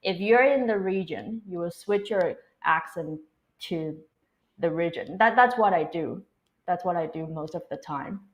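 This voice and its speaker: A woman from Taiwan with a fairly low voice speaks English as a second language after Mandarin. Her accent is Taiwanese with an American influence, and she talks casually as a co-host on a live language stream. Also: a little slow, rough recording. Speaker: language teacher co-hosting a livestream.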